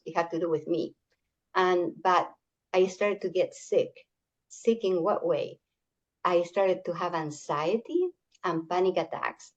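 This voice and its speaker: An older woman from Chile, whose first language is Spanish, gives a community workshop talk over an online call, speaking haltingly with many fillers and restarts. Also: lowish voice, highs cut off.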